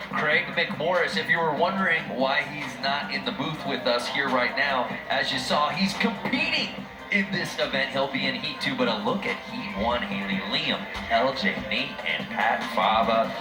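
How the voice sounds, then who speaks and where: A man with a mid-range voice calls the action as play-by-play commentator, brisk and upbeat. The sound is roomy, and loud music plays underneath. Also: mid-range voice. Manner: upbeat, brisk announcer delivery. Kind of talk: live sports commentary. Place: broadcast booth at an outdoor event.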